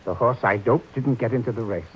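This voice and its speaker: An actor playing a guilt-ridden, meek older ex-horse trainer in a vintage radio drama, talking earnestly and pleadingly. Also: male voice, fairly quick, husky, close to an everyday voice. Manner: earnest, pleading, jumpy pitch. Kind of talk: 1940s radio acting. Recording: dull lo-fi old radio broadcast.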